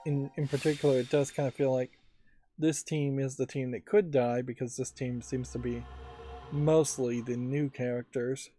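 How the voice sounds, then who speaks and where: A man giving musing game commentary, thinking aloud in a halting way. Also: medium pitch, level tone, warm tone. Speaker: let's play host. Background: faint game music.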